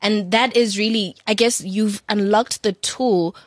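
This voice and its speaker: A woman's voice: medium pitch, fairly even intonation, a little slow, no echo, studio quality. A woman co-hosting a podcast, asking a guest thoughtful, winding questions. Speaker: podcast co-host. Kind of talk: interviewing a guest.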